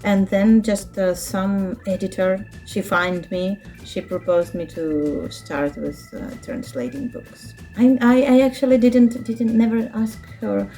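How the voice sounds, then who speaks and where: A woman in her thirties from Ukraine, a native speaker of Russian and Ukrainian, talking in a casual interview with a Ukrainian accent, halting and searching for words, laughing often. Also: medium pitch, mellow, soft background music. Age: 30s. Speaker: interview guest, children's book translator.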